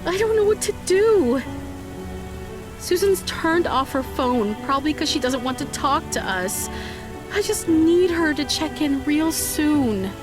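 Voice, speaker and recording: A woman's voice: high voice, lively intonation, a little slow. A woman playing a worried mother in an audio drama, speaking in an earnest, anxious manner. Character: worried mother of a teenage girl. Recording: music behind the voice.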